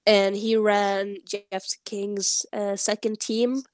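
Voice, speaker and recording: Slow, hesitant young woman on a video call. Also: mid-range voice, flat delivery, clean tone, no echo. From Norway. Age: 20s. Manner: hesitant, searching for English words, laughs shyly. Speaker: interviewee, sled dog musher. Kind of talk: remote interview conversation.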